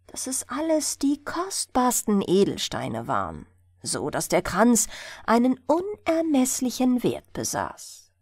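Expressive, unhurried woman reading a bedtime story. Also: on the high side, no room sound, studio quality. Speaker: audiobook narrator. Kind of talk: bedtime fairy tale narration.

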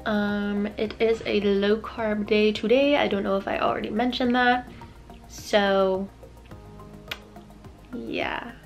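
A woman's voice: mid-range voice, flat delivery, slow, creaky, long pauses, muffled recording, faint music behind. Young woman vlogger, rambling casual chat to camera at arm's length. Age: young adult. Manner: chatty, rambling, filler-heavy. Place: grocery store, car and bedroom. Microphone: handheld camera at arm's length.

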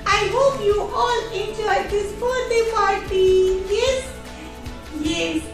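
A woman plays a cheerful, greedy animal puppet in a children's show. The voice is extremely high and sing-song, very slow, and heard from off in a strongly echoing room. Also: exaggerated voice. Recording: echoey room, distant mic, music behind.

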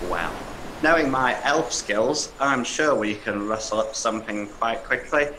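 A woman voicing a gentle, helpful male elf in a puppet show, musing hesitantly with oohs and hums. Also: very deep voice, expressive, measured pace. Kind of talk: hobby puppet show acting. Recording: echoey room, clear background noise.

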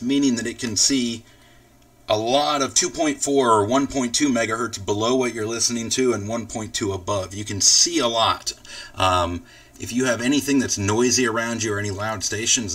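A man with a fairly low voice talks through a software tutorial. He explains each step in an informal, casual way. He sounds set back from the microphone. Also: no room sound, distant mic. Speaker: tutorial host. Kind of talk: casual screen-recorded software walkthrough.

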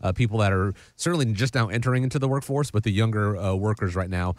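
A young male host talks quickly and briskly, moving through plugs and questions with a promotional push. His voice sits a little low. The sound is close and dry. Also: no echo. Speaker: podcast host. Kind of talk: casual podcast talk with ad reads.

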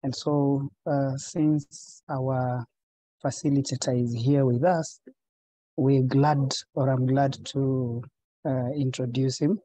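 A man's voice: medium pitch, level tone, slow pace, dark tone, low-quality recording. A man moderating an online webinar, introducing the speaker formally with frequent fillers. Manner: hesitant, many fillers. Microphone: video call.

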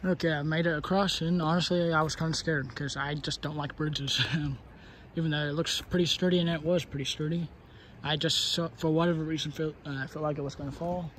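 A man's voice: on the high side, fairly even intonation, fairly quick, a bit bright, slight background noise. A young man vlogging outdoors on a wooded trail, talking to a phone camera held at arm's length, rambling and hesitant with lots of fillers. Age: young adult. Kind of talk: casual outdoor vlog.

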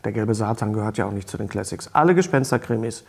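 A man's voice: fairly low pitch, lively intonation, fast talker, gravelly, a little mellow, breathy edge. A middle-aged man hosting a talk show, presenting in a casual, jokey, enthusiastic way. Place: small studio set, seated at a table. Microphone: lapel mic.

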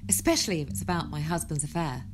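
A woman's voice: low voice, expressive, fast talker, a little hiss or hum. A woman acting as a well-to-do, composed but defensive wife and hostess in an audio drama.

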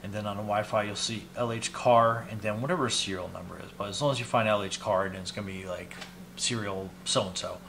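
A man's voice: lowish voice, fairly even intonation. Casual middle-aged man over background noise. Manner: casual, unhurried, thinking aloud. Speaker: product reviewer and video host. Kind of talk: casual hands-on product demo. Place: small indoor hobby room at a desk. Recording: clear background noise, room ambience.

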